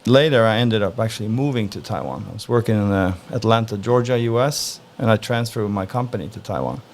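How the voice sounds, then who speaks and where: Interview guest, male, from Norway, gravelly low voice, easygoing and thoughtful. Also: a little hiss or hum. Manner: relaxed, thoughtful, frequent "uh" pauses. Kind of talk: casual interview answers.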